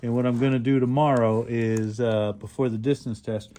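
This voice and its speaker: A man's voice: mid-range voice, little pitch movement, moderate pace, dark tone. A man giving a casual hands-on product review as a video host, explaining features plainly and step by step as he handles the gear. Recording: clearly noisy home-made recording.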